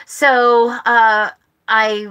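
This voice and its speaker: A woman's voice: a little high, level tone, very slow, fairly bright tone, clean tone. An American woman hosting a book club live stream, chatting casually in a rambling way. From United States. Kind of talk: casual chat. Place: live stream, speaking to viewers online.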